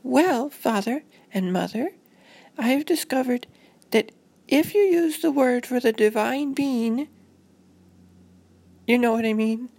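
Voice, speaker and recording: A woman's voice: a little high, slow pace, long pauses, darkish tone, voice pushed up. A woman voicing an anxious, literal-minded little girl with a prim, overdramatic manner in a children's story. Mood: alarmed, insistent. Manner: prim, formal address, overdramatic.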